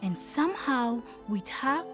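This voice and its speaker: A woman playing a timid, dreamy young village wife in a radio drama, earnest and expressive. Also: on the high side, measured pace, over music. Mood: wistful, reflective. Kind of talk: radio drama acting and first-person narration.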